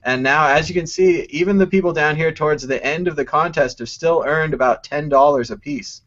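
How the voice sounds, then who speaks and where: A man's voice: fairly high pitch, a little fast, a bit bright, lo-fi sound. A man hosting a casual live-stream talk show, joking around and laughing often. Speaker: host.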